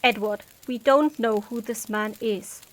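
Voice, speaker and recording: Woman playing a stern, higher-voiced mistress of the house. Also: fairly even intonation, a little slow, natural voice. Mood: suspicious, commanding. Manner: commanding, formal, clipped. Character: stern, haughty, protective mother of a period household. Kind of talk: period audio drama acting.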